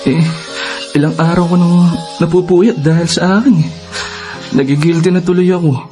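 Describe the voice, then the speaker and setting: An actor playing a tearful young man grieving his father, speaking haltingly with a Filipino accent in a radio drama. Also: fairly high pitch, steady tone, warm tone, soft background music, close to an everyday voice. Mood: tearful grief.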